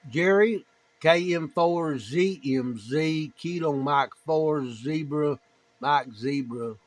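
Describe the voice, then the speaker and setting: Higher-voiced man on ham radio. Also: slow, slight background noise. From United States. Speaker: amateur radio operator, video maker. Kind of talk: casual ham radio chat.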